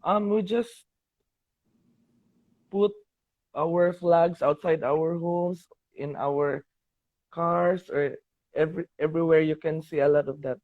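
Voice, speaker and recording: A young Filipino man in his twenties, a college art teacher calling in as a fan, speaking in a shy, halting and polite way over a video call. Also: a little high, steady tone, dry recording. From Philippines. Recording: tinny dry video-call audio.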